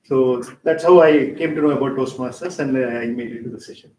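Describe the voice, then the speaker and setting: A man introducing himself as a first-time guest at a speaking club, nervous and filling pauses with uh and um. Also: a little mellow. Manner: nervous, filler-heavy. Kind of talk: self-introduction at club meeting. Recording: echoey hall, distant mic, faint rumble.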